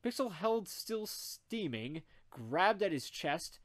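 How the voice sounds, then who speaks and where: A man narrating fan fiction in an amateur comedic group reading, animated and dropping wry asides. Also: high voice, slow.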